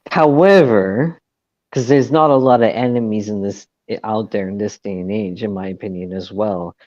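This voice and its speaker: A man's voice: lowish voice, moderate pace, darkish tone, no echo, highs cut off. A man chatting as a guest, hesitant and often qualifying his points. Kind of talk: casual chat.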